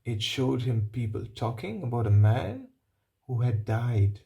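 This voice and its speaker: A man reading a picture book aloud to children, pausing to explain things and put questions to them. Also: medium pitch, big pitch swings, creaky, mellow, highs cut off. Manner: pauses to ask and explain to young listeners. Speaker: storybook reader. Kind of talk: read-aloud narration for children.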